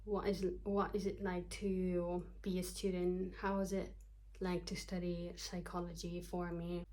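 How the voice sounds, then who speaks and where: A woman's voice: fairly low pitch, little pitch movement, a little slow, mellow. A young woman from Kyrgyzstan in her twenties, a psychology student, chatting casually to camera, joking at herself and loosely reading from her notes. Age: 20s. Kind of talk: casual talking-to-camera vlog.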